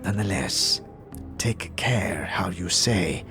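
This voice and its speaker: A man's voice: low-pitched, slow pace, over music. A man playing a polite, cautious guide through undead halls in a fantasy audio drama, speaking in formal warnings. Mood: grave warning.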